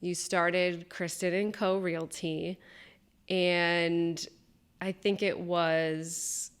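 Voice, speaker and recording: A woman's voice: lowish voice, little pitch movement, drawn-out words, smooth. A woman hosting a podcast who asks chatty questions full of "like". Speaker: podcast host. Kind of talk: casual interview.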